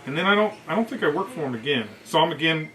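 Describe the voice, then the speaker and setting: A middle-aged American man, a veteran, casually telling profanity-laced service stories in an animated way, acting out what others said. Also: a little high, fast talker, a little hiss or hum, poor audio. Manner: animated, profane, acts out quoted dialogue. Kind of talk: casual anecdotal storytelling.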